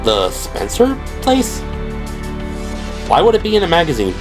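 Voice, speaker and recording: A man's voice: fairly high pitch, huge pitch swings, a little slow, voice pushed up. A man performs a nervous police pilot for an audio drama. The voice is raised, scoffing and defensive, easily flustered, with music playing behind it. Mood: skeptical. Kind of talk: audio drama character acting.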